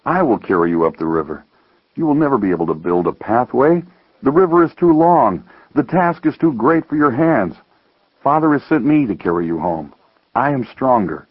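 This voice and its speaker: A man voicing a calm, patient, merciful eldest brother in a parable, speaking in a measured, gentle way. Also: medium pitch, no room sound, narrow, tinny audio, close to an everyday voice. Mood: earnest. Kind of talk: dramatized parable storytelling.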